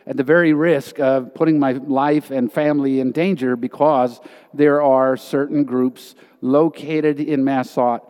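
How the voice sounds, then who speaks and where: A man's voice: mid-range voice, darkish tone, clean tone. Earnest male preacher in a reverberant hall. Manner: earnest, emphatic storytelling. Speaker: missionary teacher. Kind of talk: sermon testimony.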